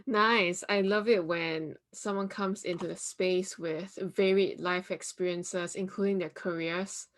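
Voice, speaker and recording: Woman host, kinda low voice, chatty, keeps the interview going with open questions. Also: fairly even intonation, unhurried, few pauses. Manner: conversational, affirming, asks open questions. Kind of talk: university interview show.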